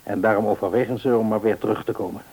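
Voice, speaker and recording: A man's voice: fairly low pitch, steady tone, racing, darkish tone, husky. An actor playing an affectionate middle-aged husband and father in a radio play, reassuring and given to repeating short words. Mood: confiding.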